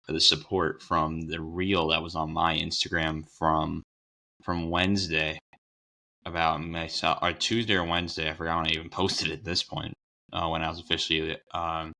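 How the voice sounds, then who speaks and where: Young guy w a cold, kinda hoarse, deep voice but bright edge, rambles thru his sports picks. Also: level tone, normal speed. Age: young adult. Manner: rambling, self-correcting, upbeat. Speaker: sports show host. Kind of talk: casual sports preview talk.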